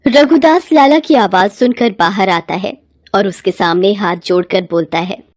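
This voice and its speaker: A woman's voice: medium pitch, normal speed, dry recording, studio quality. A woman narrating a scripted moral bedtime story as its storyteller.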